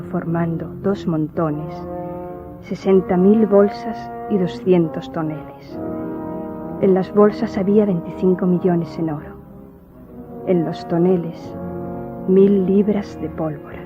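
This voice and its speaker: An actress playing a sorrowful young woman recalling childhood horror, telling it gravely and wistfully in a radio drama. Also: lowish voice, fairly even intonation, unhurried, close to an everyday voice. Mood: haunted. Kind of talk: Spanish radio drama acting. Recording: dull lo-fi audio, faint music.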